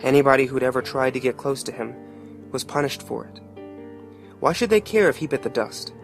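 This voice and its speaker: A man's voice: medium pitch, lively intonation, brisk, gravelly, faint music behind. A man playing a wry, streetwise storyteller, narrating a motion comic in a folksy, reflective, colloquial way. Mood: somber, reflective. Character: wry, streetwise male storyteller musing on death.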